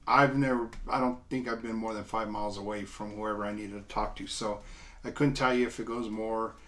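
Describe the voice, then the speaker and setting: A middle-aged American man talks through a product in a casual, unscripted review. His voice sits at a medium pitch. He is informal and plain-spoken, explaining in short, halting steps while seated a few feet from the camera in a small room.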